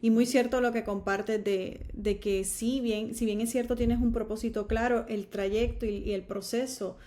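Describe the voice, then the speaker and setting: A woman hosting a conversational podcast interview, affirming her guest and reflecting the story back with halting, repeated words. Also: fairly high pitch, steady tone, warm tone. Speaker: podcast host.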